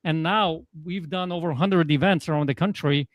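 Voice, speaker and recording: A man's voice: fairly high pitch, brisk, no echo, highs cut off. A man with a Polish accent, a coach talking as a guest in a casual interview, emphatic and fond of rhetorical "guess what" questions. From Poland.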